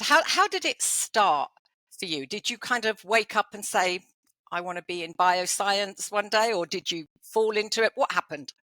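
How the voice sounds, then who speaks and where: A woman's voice: mid-range voice, bright, forceful tone, no echo. A woman with a Brooklyn accent hosting a podcast interview, chatty and playful. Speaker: podcast host. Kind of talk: interview conversation.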